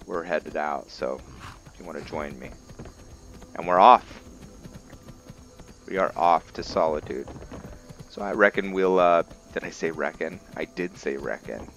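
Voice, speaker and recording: A man giving casual let's-play commentary and roleplay narration in a halting, musing way, often correcting himself. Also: low-pitched, fairly bright tone, a little hiss or hum. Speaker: host of a roleplay gaming series.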